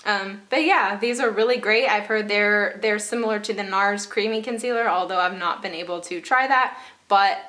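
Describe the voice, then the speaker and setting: A woman's voice: mid-range voice, normal speed, few pauses, sharp tone. A young woman with a stuffy, congested voice chatting casually through her favorite makeup products, with lots of fillers and repeated praise. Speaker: beauty vlogger.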